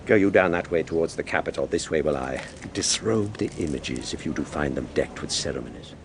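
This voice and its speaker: Stern roman tribune, lively pitch, noisy background. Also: male voice, fairly quick, vocal fry, exaggerated voice. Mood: commanding. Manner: commanding.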